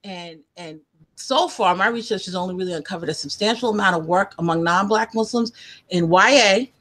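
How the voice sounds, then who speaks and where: Give me a young woman host, bright and chatty, explaining research like a lecturer. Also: mid-range voice, fairly quick. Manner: chatty, many restarts, asks "okay?". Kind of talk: online video interview, lecture-like explaining.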